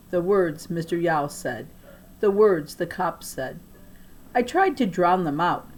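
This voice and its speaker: A woman narrates a children's story at a slow, deliberate pace, in a slightly low voice. Her phrasing is expressive and emphatic, and there is clear noise in the recording. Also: poor audio. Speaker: audiobook-style reader of a children's novel. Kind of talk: expressive read-aloud narration.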